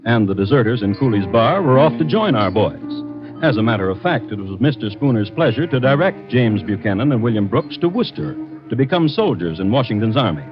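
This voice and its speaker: Wry, very expressive male radio narrator. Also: medium pitch, music in the background. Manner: wry, dramatic, deliberate storyteller. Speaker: host and narrator. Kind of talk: scripted true-crime radio drama narration. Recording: dull vintage radio recording.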